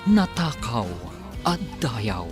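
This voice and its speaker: A man introduces and recaps a radio drama in a measured, lilting style. His pitch sits in the middle but rises and falls widely. A music bed plays clearly behind him. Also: very animated. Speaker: announcer.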